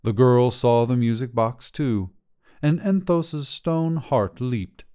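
A man reading a short story as its narrator, with a measured, literary storytelling delivery. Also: mid-range voice, mellow, smooth. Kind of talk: scripted fiction narration, storytelling.

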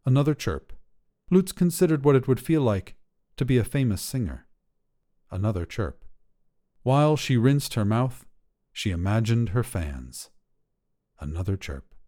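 A man reading short fiction as a podcast narrator, in a measured, careful way. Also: mid-range voice, big pitch swings, mellow.

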